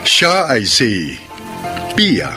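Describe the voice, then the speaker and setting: A man hosting a radio drama with formal, measured, scripted narration. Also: medium pitch, wildly swooping pitch, loud music behind the voice. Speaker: host and announcer.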